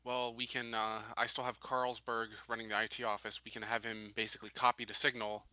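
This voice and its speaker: Guy player in a tabletop rpg, super bright tense voice, explaining tactics casually, no echo. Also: fairly low pitch, level tone, fairly quick, smooth.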